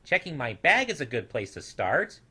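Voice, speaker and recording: A man's voice: mid-range voice, huge pitch swings, normal speed, sharp tone, slightly rough, heavily acted. A man voicing a small, young, naive storybook creature, earnest and easily wounded, with a childlike manner. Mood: eager, excited.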